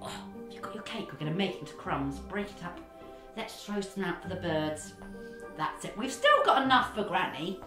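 A woman playing an excitable, kindly young girl from a fairy tale, with gasping, exaggerated delivery in interactive children's theatre. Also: lowish voice, moderate pace. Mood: excited, gentle. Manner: breathy gasps, exaggerated expressive delivery. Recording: echoey room with background music.

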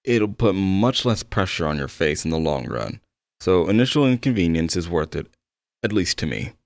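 A man acts out an eager male game developer in an intimate audio roleplay. His voice is low and swings expressively as he talks attentively, a little awkward and quick to apologize. The sound is close and dry. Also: lively intonation, gravelly, a little mellow, no room sound. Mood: attentive, helpful. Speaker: roleplay voice actor. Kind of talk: ASMR-style roleplay addressed to the listener.

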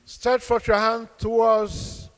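A man leading a church welcome, speaking a slow, fervent blessing prayer into a handheld microphone in a church hall. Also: very high voice, level tone. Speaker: pastor.

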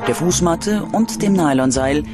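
Higher-pitched male true-crime narrator over loud music. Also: animated, measured pace. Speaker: documentary narrator. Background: music bed.

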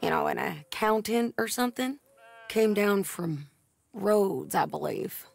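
A woman's voice: mid-range voice, animated, unhurried, heavily acted. An actress playing a tough, plain-spoken bounty hunter in her thirties from the Old West, with an American accent and a blunt manner, in a western game. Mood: apologetic, serious. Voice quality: gravelly. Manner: blunt, matter-of-fact. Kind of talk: cinematic western game acting.

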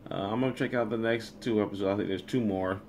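A man's voice: lowish voice, fairly even intonation, quick pace, a little mellow, slight background noise. A man in his thirties hosting a casual reaction video from a small room at home.

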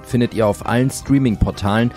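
Mellow male promo announcer. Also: medium pitch, brisk, soft background music. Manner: smooth promotional delivery.

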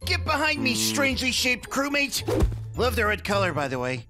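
A man playing an earnest, anxious but friendly crewmate in an animated cartoon. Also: very high voice, moderate pace, music in the background. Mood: wary. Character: anxious, friendly little crewmate player, helpful. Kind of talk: animated web cartoon acting.

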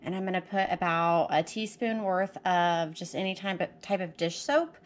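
A woman's voice: on the low side, moderate pace. A woman casually explaining her kitchen routine step by step in a plain, practical way with some fillers. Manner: plain, practical, talks while working with fillers. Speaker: homesteader showing her routine. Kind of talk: casual how-to narration. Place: home kitchen at the sink. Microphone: camera mounted above the sink. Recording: running water noise behind.

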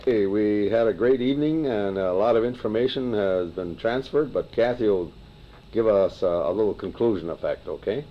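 A man's voice: fairly low pitch, moderate pace, highs cut off, a little hiss or hum. A man in his sixties, a videographer, moderating an oral history session with brief upbeat acknowledgments. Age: 60s.

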